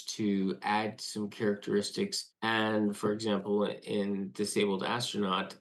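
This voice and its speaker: A man from Canada, a playwright, talking reflectively as an interview guest. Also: on the low side.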